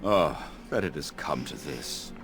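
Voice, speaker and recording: An actor playing a grave, calm old elven elder in a fantasy video game, measured and weary, with a gravelly voice. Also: male voice, very deep voice, full character voice. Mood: grave concern.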